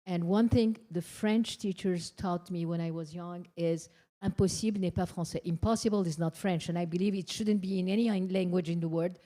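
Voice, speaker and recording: An older woman speaks on a conference panel in a mellow, fairly low voice. She talks earnestly and haltingly, pausing to search for her words. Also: steady tone. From Iran. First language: Persian. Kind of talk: panel discussion remarks.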